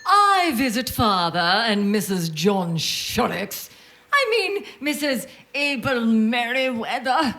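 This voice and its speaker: A woman's voice: on the high side, sing-song, slow, sharp tone, heavily acted. A woman playing a sly, bossy, indignant married daughter in a comic radio play. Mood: smug. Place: theatre stage before an audience.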